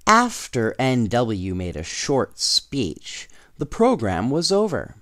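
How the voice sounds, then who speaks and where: A man reading a children's storybook aloud in an expressive, unhurried way, with casual asides. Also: mid-range voice, no echo, studio quality. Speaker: storybook reader.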